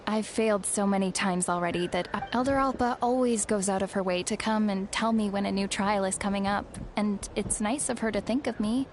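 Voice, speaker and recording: A woman's voice: medium pitch, brisk, hardly any pauses, slight background noise, lightly acted. A woman playing a discouraged, self-doubting young trainee in a video game, hesitant and resigned. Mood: resigned, downcast.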